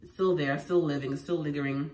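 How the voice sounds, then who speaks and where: A woman with a head cold, sounding congested, sharing home remedy tips in an animated, emphatic vlog at home. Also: low voice, brisk. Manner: animated and emphatic, repeating phrases. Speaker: lifestyle vlogger. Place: at home indoors. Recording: echoey room, faint noise.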